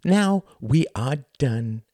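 A man voicing a flamboyant, theatrical thin stage performer in a grandiose, excitable manner. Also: mid-range voice, very slow, no room sound, clean studio sound, voice pushed up. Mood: smug.

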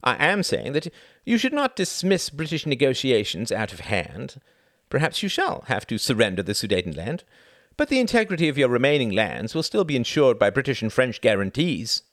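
A man reading aloud, voicing a cool, wry male British diplomat who speaks in a measured, mildly condescending way. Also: medium pitch, very animated, fairly bright tone, no echo, clean studio sound, voice pushed up.

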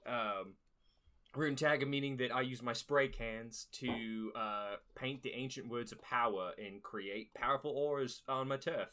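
A man's voice: mid-range voice, unhurried, sharp tone. A man in a casual tabletop game podcast, describing his character with lots of fillers and frequent laughs. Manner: rambling and laughing a lot, with many fillers.

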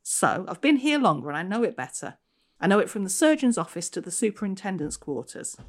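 A woman's voice: medium pitch, very animated, a little fast, pitched above the performer's own voice. A woman voicing a prim young female ghost in an old police uniform, indignant and then smug. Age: 20s.

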